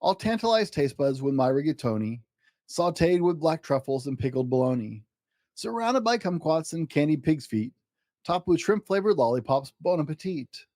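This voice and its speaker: A man's voice: on the high side, normal speed, no echo, studio quality, lightly acted. A man voicing an exuberant, boastful eight-year-old schoolboy in a theatrical, rhyming picture-book story. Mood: grandly boastful. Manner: excitable, grandiose, theatrical.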